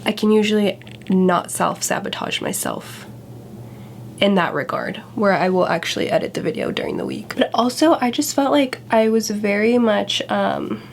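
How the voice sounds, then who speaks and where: A woman's voice: mid-range voice, moderate pace, creaky, a little hiss or hum. A young woman vlogger sounding congested from a cold, talking in a chatty, candid, self-deprecating way. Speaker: YouTuber. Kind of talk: casual vlog chat.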